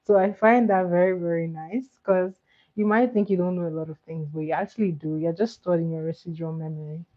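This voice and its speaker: A woman with Igbo as her chats easily with her livestream viewers in a lowish voice, giggling and doubling back on her words. The sound is dull and lo-fi, like a home stream with a basic mic. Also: fairly even intonation, brisk. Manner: chatty, giggly, repeats words. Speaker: livestream host. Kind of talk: casual chat with viewers.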